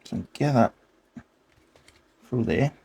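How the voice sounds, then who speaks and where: A man's voice: medium pitch, expressive, takes it slowly, vocal fry, mellow, slightly rough. A man hosting a repair video, narrating casually in short muttered remarks to himself as he works with his hands.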